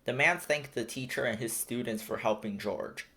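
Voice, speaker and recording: A storybook reader giving an expressive read-aloud narration for children. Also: medium pitch, moderate pace.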